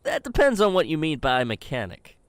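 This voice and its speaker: A man's voice: fairly high pitch, huge pitch swings, a little fast, clean tone, no room sound. A man playing a cocky young wasteland companion who talks earnestly and speaks his mind. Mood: concerned.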